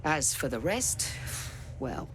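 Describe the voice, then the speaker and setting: An actress playing a grave, dignified clan elder in a video game, solemn, formal and halting. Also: female voice, very deep voice, sing-song, faint music behind, exaggerated voice. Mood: grave, grateful. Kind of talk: scripted video game dialogue.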